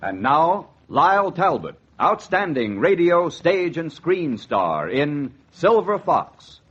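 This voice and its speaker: A man's voice: a little high, sing-song, takes it slowly, fairly bright tone, husky. A man announcing a radio show in a portentous, theatrical 1940s narration style. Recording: dull vintage 1940s radio recording. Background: organ music.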